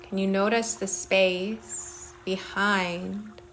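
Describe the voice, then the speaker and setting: Very slow-spoken woman guiding meditation. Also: medium pitch, level tone, a bit bright, faint music behind. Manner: soothing, short phrases with long pauses. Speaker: meditation guide. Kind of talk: guided meditation, body scan. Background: singing bowls.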